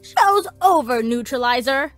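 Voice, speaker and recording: A woman voicing a spirited, bold, excitable teenage cartoon girl who often exclaims. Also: high-pitched, a little slow, soft background music. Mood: excited.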